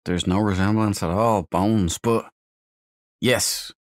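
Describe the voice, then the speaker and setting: Slow, low-voiced man commenting on a game. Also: steady tone, no room sound, studio quality. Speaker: let's play host.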